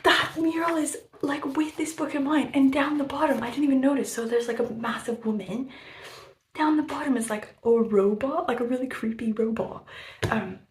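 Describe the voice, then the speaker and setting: A woman chatting casually about a book on her vlog. She rambles with many fillers, swears freely and often drops into a breathy half-whisper. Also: high-pitched, steady tone, normal speed. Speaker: book vlogger. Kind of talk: casual book review chat. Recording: roomy room echo, faint background noise.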